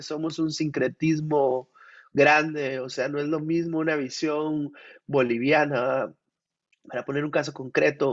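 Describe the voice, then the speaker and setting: A man, sick with a cold, answering questions thoughtfully at an art conference, with frequent restarts. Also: a little high. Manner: reflective, with many restarts. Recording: muffled, lo-fi audio.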